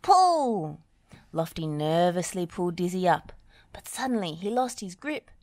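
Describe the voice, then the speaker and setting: Children's audiobook reader: woman, slightly low pitch, expressive and lively, deliberate storytelling. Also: dry recording, studio quality.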